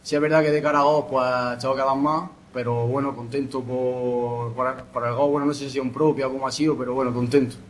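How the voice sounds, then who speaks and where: A young man, a footballer, answering post-match interview questions in a modest, halting way. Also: mid-range voice, level tone, slight background noise. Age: young adult. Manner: halting, modest, pausing to restart.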